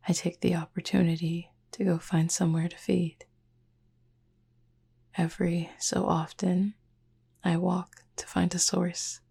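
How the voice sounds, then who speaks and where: A woman playing a gentle, reserved cupid roommate in a sleep-aid roleplay, speaking softly and formally in a breathy near-whisper with long pauses. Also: lowish voice, heavily acted. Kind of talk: slow, soft-spoken sleep-aid audio roleplay.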